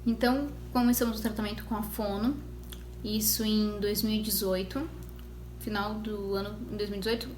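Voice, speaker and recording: A woman's voice: mid-range voice, little pitch movement, a little mellow. Chatty mother in an echoey room. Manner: conversational storytelling with many pauses and restarts. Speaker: mother sharing her experience. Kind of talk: casual personal vlog. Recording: echoey room with faint noise.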